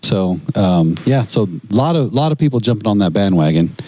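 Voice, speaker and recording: A man chats informally as one of a podcast's hosts. His voice is clearly deep, and he speaks in an everyday conversational way. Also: very animated, brisk, dark tone, a little hiss or hum, low-quality recording. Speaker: podcast co-host. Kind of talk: casual chat about cigars.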